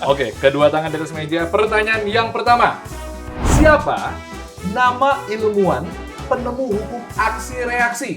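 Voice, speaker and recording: A man's voice: high-pitched, expressive, a little slow. A man hosting a quiz show in a playful, emphatic announcing manner. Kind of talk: lively game show hosting. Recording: music behind the voice.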